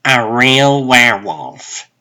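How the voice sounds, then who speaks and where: Guy doing a skeptical harried assistant in a comic dub, super sharp voice, slow pointed questions. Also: mid-range voice, lively intonation, voice pushed up, exaggerated voice. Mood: puzzled. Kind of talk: comic book dub voice acting.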